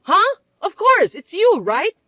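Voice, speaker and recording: A woman's voice: high-pitched, sing-song, moderate pace, a bit bright, dry recording, studio quality. A woman voicing a bossy, scornful older mother-in-law who scolds and accuses in an indignant, whiny way. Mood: shocked. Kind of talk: dramatized story voice acting.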